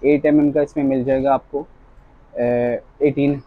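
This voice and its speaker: A young man giving a casual, polite sales pitch, quoting products and prices as a shop salesman. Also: flat delivery, measured pace, no echo, narrow, tinny audio. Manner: polite, addresses customer as sir. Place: small tiled fitness equipment shop, indoors.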